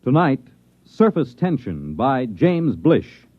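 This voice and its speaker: A man's voice: mid-range voice, big pitch swings, takes it slowly. Theatrical, swooping 1950s radio announcer man. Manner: theatrical, portentous. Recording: phone-like vintage radio broadcast. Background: dramatic theme music.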